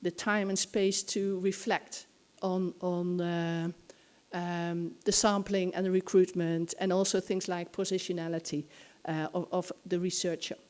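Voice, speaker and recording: A woman's voice: lowish voice, little pitch movement, measured pace, a little mellow. An older woman from the Netherlands giving an academic conference presentation, thinking aloud with some hesitation. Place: hotel conference room. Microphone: podium gooseneck microphone.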